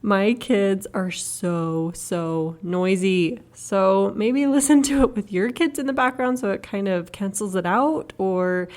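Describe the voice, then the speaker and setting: A woman's voice: mid-range voice, takes it slowly, hardly any pauses, a little mellow. A woman hosting a podcast interview, talkative and eager, recorded over a video call. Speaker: podcast host. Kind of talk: casual interview chat.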